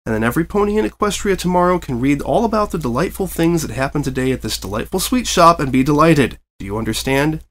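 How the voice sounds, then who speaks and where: A man playing an uptight reporter pony who talks a bit higher than normal and keeps getting exasperated. His delivery is fussy and tense, and the pitch bounces around a lot. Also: lively intonation, fast, hardly any pauses, natural voice. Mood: flustered. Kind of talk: fan fiction reading.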